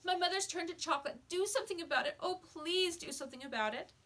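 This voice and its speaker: A woman's voice: very high voice, fast talker, fairly bright tone, pitched above the performer's own voice. A woman voicing a frantic young boy in a storybook, pleading urgently and emphatically. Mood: desperate pleading.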